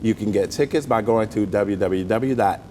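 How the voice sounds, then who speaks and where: A man's voice: normal speed, darkish tone. A middle-aged man with a Southern US accent, chatting earnestly and with some joking as a guest in a casual interview. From southern United States, rural. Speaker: interview guest, stage actor and comedian. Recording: long reverberant hall, faint rumbling noise.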